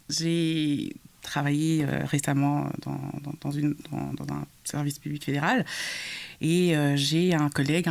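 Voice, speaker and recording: A young woman speaks in a relaxed podcast conversation. She tells her story with animation, stumbling over restarts and fillers as she goes. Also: low voice, vocal fry. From Congo. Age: young adult. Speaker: guest sharing her experience.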